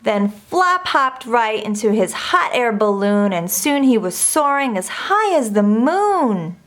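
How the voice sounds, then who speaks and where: A woman reading a rhyming children's picture book aloud in an expressive, deliberate storytelling manner. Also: fairly high pitch. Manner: measured, deliberate storytelling delivery. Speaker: storybook reader.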